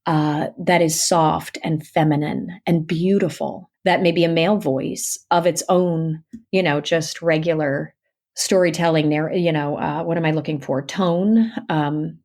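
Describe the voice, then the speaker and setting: A woman with a slightly low voice chats as an interview guest. Her delivery is candid and emphatic, and she often doubles up words to drive a point home. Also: fairly even intonation, a little slow, darkish tone. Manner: emphatic, repeats words and phrases for stress. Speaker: interview guest, audiobook narrator and actor. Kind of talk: casual, candid podcast conversation.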